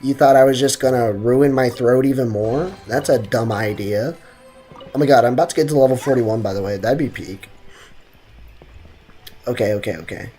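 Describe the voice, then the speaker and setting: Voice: mid-range voice, moderate pace, pauses often, mellow, soft background music. A game streamer chatting casually with viewers in a slangy, joking, mock-boastful way. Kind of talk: casual gaming livestream commentary, chatting with viewers.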